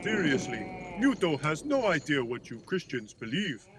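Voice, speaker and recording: Childlike happy creature, high voice, swooping intonation, gushing, naive, comedy audio drama, faint noise. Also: male voice, big pitch swings, normal speed, fairly bright tone. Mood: sweet, delighted. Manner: speaks of himself in third person, naive, gushing. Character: cheerful, childlike, sweet-toothed creature playing Scrooge.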